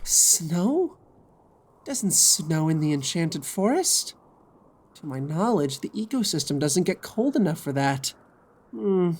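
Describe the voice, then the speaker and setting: A woman's voice: sits low, very animated, slow pace, darkish tone, exaggerated voice. A woman playing a wary, caring and easily exasperated male adventurer in an audio drama. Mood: puzzled.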